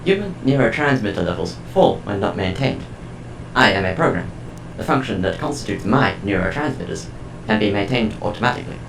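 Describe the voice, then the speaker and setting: A man playing a calm, formal artificial intelligence in an audio drama, speaking in precise, measured sentences. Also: low-pitched, vocal fry, roomy sound, music in the background, exaggerated voice, low-quality recording. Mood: reflective, measured.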